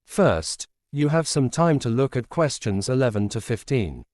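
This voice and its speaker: A man reading scripted instructions as a test announcer, formal and measured. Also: medium pitch, big pitch swings, a little mellow, no room sound, studio quality.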